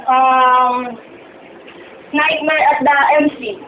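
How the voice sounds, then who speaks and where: A woman's voice: high-pitched, steady tone, drawn-out words. A teenage girl with a Filipino accent acting as a young woman hounded by a phone stalker in an amateur horror drama. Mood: casual. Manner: pleading, repetitive, agitated. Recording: tinny, noisy, echoey phone-like audio.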